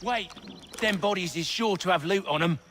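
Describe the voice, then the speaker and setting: An actor playing a rough, cheeky, greedy hired brawler in a video game, talking in irreverent, ungrammatical slang. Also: male voice, sits high, normal speed, background noise, lightly acted. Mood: sardonic, eager. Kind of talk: video game cutscene acting.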